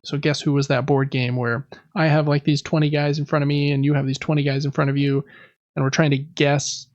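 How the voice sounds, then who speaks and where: A man's voice: on the high side, level tone, brisk, dry recording, highs cut off. A man co-hosting a podcast, talking through gaming news in a casual, conversational way. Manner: conversational, loosely reading through news items. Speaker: podcast co-host.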